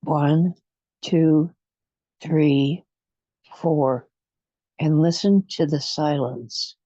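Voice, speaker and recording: A guide leading a guided imagery relaxation session, speaking in a soothing, hypnotic way with long pauses and slow counting, on a dull lo-fi recording. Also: mid-range voice, steady tone, mellow, no echo.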